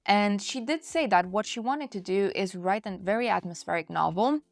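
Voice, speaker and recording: A woman's voice: mid-range voice, normal speed, vocal fry, no room sound, faint music behind. A woman hosting a book review in a chatty, conversational way, speaking directly to her viewers. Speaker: book reviewer and channel host.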